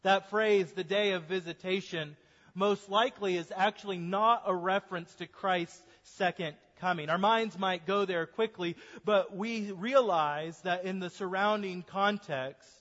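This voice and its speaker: High-voiced pastor preaching in an echoing church. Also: male voice, fairly bright tone. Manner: earnest preaching, slows for emphasis. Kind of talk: sermon. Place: church sanctuary. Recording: dull sermon recording in a reverberant church.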